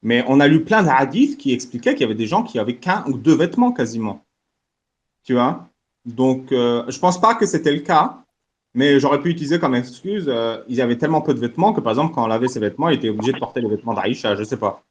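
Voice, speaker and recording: A man's voice: medium pitch, expressive, fast, dull audio. A man hosting a casual live audio discussion, joking, thinking aloud and laughing often. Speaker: host.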